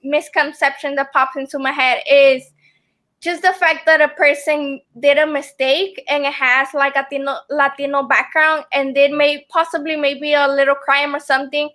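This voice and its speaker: A woman's voice: high voice, little pitch movement, normal speed, sharp tone. A young woman whose first language is Spanish, a student guest on a video call, speaking earnestly with many ums. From family from El Salvador. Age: young adult. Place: room at home.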